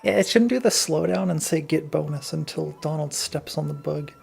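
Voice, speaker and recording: Very creaky higher-voiced man, chatting casually. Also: normal speed, darkish tone, faint music behind. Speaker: co-commentator on a game stream. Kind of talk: casual chat.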